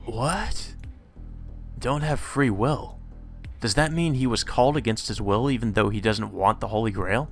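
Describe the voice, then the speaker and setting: A man's voice: medium pitch, measured pace. A man doing a let's play, reading a visual novel's dialogue and narration aloud. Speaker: let's player. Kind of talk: reading game text aloud. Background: game music.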